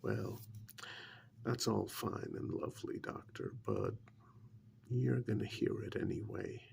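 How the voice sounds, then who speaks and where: Man acting a slow, gravelly, half-whispering government agent. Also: fairly low pitch, wildly swooping pitch, slight background noise, exaggerated voice. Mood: smug, controlled. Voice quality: soft, breathy half-whisper. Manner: measured, condescending, deliberate with long pauses. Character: calm, probing male government agent interrogating a scientist. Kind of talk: soft-spoken ASMR role-play.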